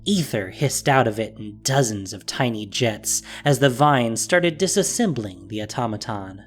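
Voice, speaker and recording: Male narrator for fantasy audio drama, mid-pitched, scripted storytelling with music underneath.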